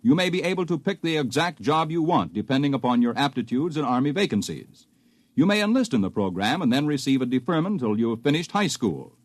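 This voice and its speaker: A man's voice: mid-range voice, dry recording. A man reading a 1950s radio commercial in an upbeat, persuasive manner. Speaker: commercial announcer.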